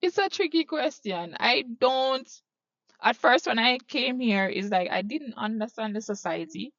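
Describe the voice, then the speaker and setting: A Jamaican woman answering interview questions slowly and hesitantly, thinking aloud as she goes. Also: a little high, animated, a bit bright, dry recording, highs cut off.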